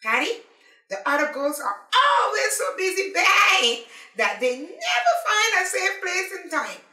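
A woman's voice: very high-pitched, huge pitch swings, unhurried, bright, forceful tone, reverberant, distant mic, voice pushed up, full character voice. A woman voicing a group of small, friendly rock creatures who speak eagerly and reassuringly.

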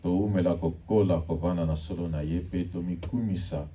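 A man's voice: low voice, steady tone, moderate pace. A man giving formal church announcements and leading call-and-response during a service. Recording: distant mic with faint noise.

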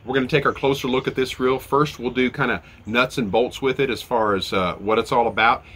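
Nasal bright-voiced man reviewing gear. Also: medium pitch, fairly even intonation, fairly quick, a little hiss or hum. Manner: chatty, explains step by step with fillers. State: nasal from a head cold. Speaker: fishing channel host. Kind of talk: casual product review. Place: outdoor deck by a lawn.

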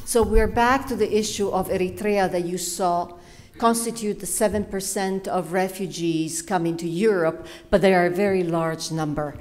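A woman's voice: lowish voice, moderate pace, a little mellow. A middle-aged woman giving a talk at a lecture hall podium, hesitant, with many fillers and restarts and emphasis on key words. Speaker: panel speaker, faculty member. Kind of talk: academic panel talk with slides. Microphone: podium microphone.

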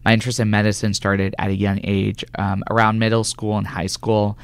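A man's voice: lowish voice, little pitch movement, fairly quick, creaky, clear, resonant tone, no echo. A man from California answering conversationally as an interview guest, a government health official, in a radio studio. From California, United States.

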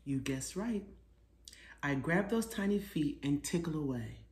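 A middle-aged woman reading a children's storybook aloud expressively, pausing to put questions to her young listeners. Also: low voice, expressive, a little mellow. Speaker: children's author reading her own book. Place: small living room, seated on a sofa. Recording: roomy indoor echo.

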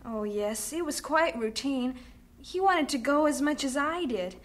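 A young grieving missionary widow in a radio drama, her voice a little high. She speaks quietly and keeps her composure. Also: expressive, measured pace.

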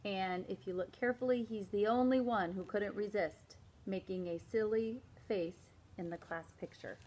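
A woman in her forties, a teacher, reading a picture book aloud from indoors and pausing to explain the pages, with a slow, emphatic parent's voice for the scolding lines. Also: medium pitch, darkish tone. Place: indoors, small room before a plain wall.

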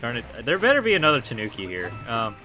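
Hoarse young male let's player, animated and silly, game music in the mix. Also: mid-range voice, fast. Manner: goofy, excitable, lots of ums and likes. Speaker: let's play gamer. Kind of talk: casual gameplay commentary.

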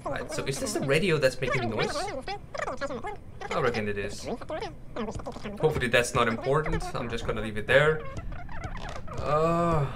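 A man's voice: mid-range voice, very animated, normal speed, long pauses, a crowd in the background. A man doing casual, nervous commentary over a horror game, chatting to his viewers. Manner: nervous, addresses viewers as bros and mate. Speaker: gaming YouTuber. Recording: clear background noise with game ambience.

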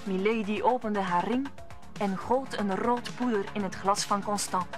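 A woman's voice: medium pitch, normal speed, over music, lightly acted. A woman narrator telling the story in an old Dutch radio drama, in scripted storytelling style.

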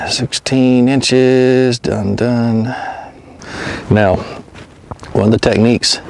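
A man's voice: on the low side, gravelly. A middle-aged man vlogging from a kayak on an open lake, explaining each step aloud and talking to the fish he handles. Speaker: fishing vlogger. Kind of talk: casual narration while working. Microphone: mounted action camera. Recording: outdoor wind and water noise.

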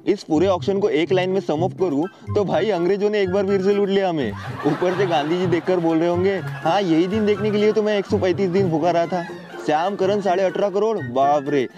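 A man's voice: high voice, a little fast, highs cut off, over music. A man from India doing comic commentary on memes, often laughing as he reads captions aloud. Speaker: meme reaction host.

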